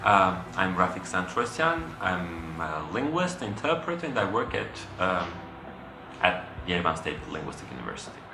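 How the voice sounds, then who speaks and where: A man from Armenia, a linguist and interpreter, introducing himself in a slow, halting way. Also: deep voice, big pitch swings, roomy sound.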